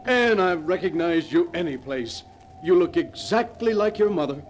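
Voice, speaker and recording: Male actor as warm, genteel father, slightly high middle-aged voice, old-time radio acting. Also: expressive, normal speed, slight background noise, natural voice. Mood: welcoming. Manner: cordial, genteel. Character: warm, courteous middle-aged father welcoming his daughter. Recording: old 1940s radio drama recording.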